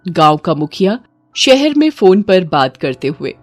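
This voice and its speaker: A woman narrates a horror tale with dramatic delivery. Her voice sits a little low and moves in very animated swings, with soft music playing underneath. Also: a little slow. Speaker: story narrator. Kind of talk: scripted horror story narration. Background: background music.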